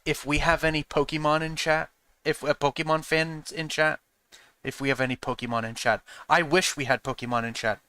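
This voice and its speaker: A man's voice: fairly high pitch, level tone, fairly quick, sharp tone, clean tone. A man streaming a game and chatting with viewers in a rambling, frank way, often asking "you know what I mean?" and swearing now and then. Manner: frank and profane. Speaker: streamer talking to chat. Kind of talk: casual chat with viewers while playing.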